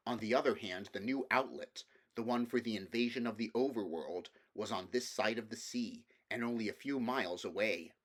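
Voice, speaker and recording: A man's voice: on the low side, fairly bright tone. A man reading a fantasy novel aloud as scripted storybook narration. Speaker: amateur audiobook reader.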